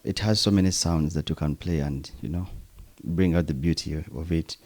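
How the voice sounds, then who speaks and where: A man's voice: a bass voice, moderate pace, darkish tone, no echo. A Ugandan man whose first language is Luganda, a percussionist chatting in an interview, chuckling and making preacherly asides. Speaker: percussionist guest. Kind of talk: relaxed interview conversation.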